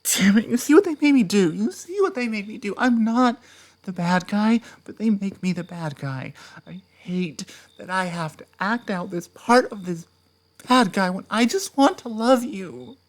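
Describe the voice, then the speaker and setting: A woman voicing an obsessive, possessive ex-partner in an audio roleplay, speaking softly and dropping into whispers before flaring up. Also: medium pitch, animated, measured pace, natural voice. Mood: angry. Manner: soft-spoken, drops into whispers, flares up. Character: obsessive possessive ex-partner, just released, menacing.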